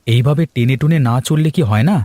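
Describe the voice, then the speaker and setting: A man's voice: mid-range voice, fast, warm tone, no room sound, voice pushed up, close to an everyday voice. Man putting on an eager pleading young son.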